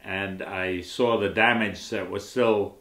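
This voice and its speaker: Halting elderly man, low voice. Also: fairly even intonation. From Brooklyn, New York. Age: older. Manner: halting, with many "uh" pauses. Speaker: interviewee. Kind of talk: recalling wartime memories. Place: indoor living room, on a sofa. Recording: roomy sound with clear background noise.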